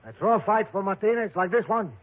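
An actor playing a defensive, punch-drunk young boxer in a radio drama, with slangy, ungrammatical talk. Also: male voice, high voice, fast. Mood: sullen defiance. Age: young adult. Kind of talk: 1960s radio drama acting. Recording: muffled, lo-fi old radio recording.

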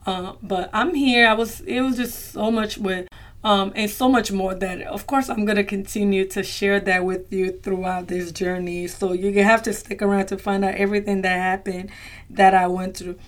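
A young woman talks to her listeners in a relaxed solo podcast. She is chatty and heartfelt, uses many fillers and calls her listeners y'all, and her voice is slightly strained after she recently lost it. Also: mid-range voice, normal speed. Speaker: podcast host. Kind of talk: casual solo podcast talk.

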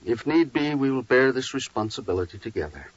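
An actor playing a devout, gentle, anxious husband and father, earnest and theatrical, in a 1940s radio drama. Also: medium pitch, big pitch swings, brisk. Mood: consoling. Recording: dull vintage radio recording.

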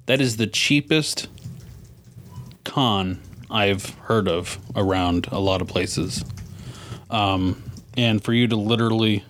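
A man's voice: fairly low pitch, slow, pauses often, fairly bright tone, slight background noise. A man co-hosting a podcast, chatting casually and informally with plenty of easy slang. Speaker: podcast co-host. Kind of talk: casual chat.

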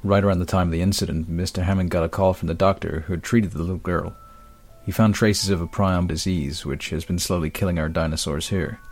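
A low-voiced, earnest man of science played by an actor explains his work politely and with care. It is audio drama dialogue, measured in delivery, with music clearly playing underneath. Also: steady tone, darkish tone. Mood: earnest, explanatory. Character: earnest male genetic scientist defending his dinosaur work.

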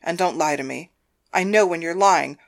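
Woman performing a controlling mistress of the house, lowish pitch, stern, commanding, audiobook dialogue. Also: moderate pace, lightly acted. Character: domineering, controlling wife and mistress interrogating her husband.

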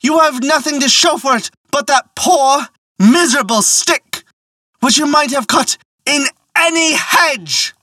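A narrator voicing a mocking talking parrot in a storybook, scolding in a shrill, drawn-out jeer. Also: expressive, pushed and bright, a little hiss or hum, full character voice. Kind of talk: storybook character voice.

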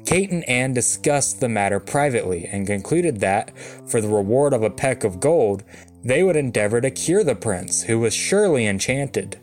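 Mid-pitched man narrating a fairy tale. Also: lively intonation, normal speed. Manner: wry, with dry comic asides. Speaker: storyteller, reader. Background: soft guitar music.

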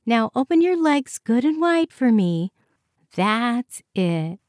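A woman narrator plays a brisk female medical technician from an audiobook. The voice is lifted high and chirpy, with sing-song reassurance and a good-natured, efficient manner. It is recorded close and dry. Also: very animated, darkish tone, no echo. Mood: cheerful, businesslike. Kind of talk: audiobook character voice.

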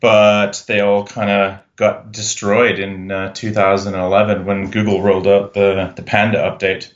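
A man from Canada with an accent mixing Canadian and Australian, speaking as a podcast guest in a relaxed, matter-of-fact way. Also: lowish voice, unhurried, roomy sound, muffled recording. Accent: Canadian with Australian influence. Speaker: podcast guest, SEO consultant. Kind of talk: casual interview chat.

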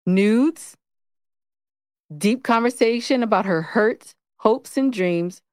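A woman's voice: fairly high pitch, slow pace, a little mellow, no echo. Blunt, playful woman, casual and dry-recorded. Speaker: co-host of a couples' relationship show. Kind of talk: casual, unscripted relationship chat.

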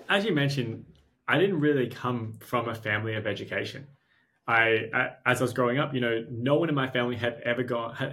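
Young adult Australian man, podcast guest, relaxed storyteller, mid-range voice. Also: medium pitch. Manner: self-deprecating, frequent restarts and "like". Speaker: podcast guest, startup founder. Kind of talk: casual interview storytelling.

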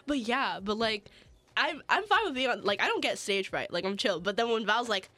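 A woman's voice: a little high, animated, fairly quick, crowd noise. A young woman on a radio show as a guest, sounding a little nasal as she gets over a cold, telling teasing stories and acting out what people said. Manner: teasing storyteller, acts out quotes. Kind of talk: casual chat.

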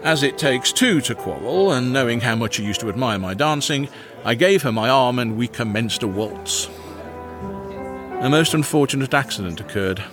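A man playing a fussy, self-important Victorian English gentleman, narrating his comic diary with precise, earnest pomposity. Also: mid-range voice, lively intonation, moderate pace, over music, natural voice.

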